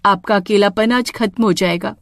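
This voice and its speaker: A woman voicing a kind, soft-hearted village mother in a storybook tale, with an expressive, pleading way of talking. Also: mid-range voice, moderate pace, no echo, studio quality, natural voice. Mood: welcoming.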